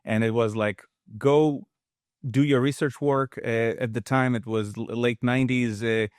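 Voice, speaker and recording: A man in his fifties from Russia, a guest expert speaking in a measured, halting way with frequent restarts. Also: mid-range voice, fairly even intonation, smooth, no echo, clean studio sound. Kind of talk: casual interview talk.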